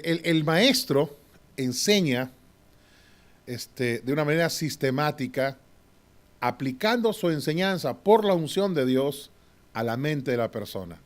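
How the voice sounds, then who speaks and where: A man teaching a Bible class in a preaching style. He often asks the class to agree and moves between loud and hushed delivery. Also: mid-range voice. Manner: swings from loud to hushed, with short laughs.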